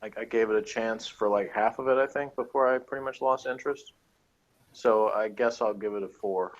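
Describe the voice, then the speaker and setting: A man's voice: on the low side, fairly quick. Sarcastic guy co-hosting a tv review chat, kinda mock fed up, muffled lo-fi sound. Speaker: co-host reviewing TV episodes. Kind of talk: casual chat, episode review.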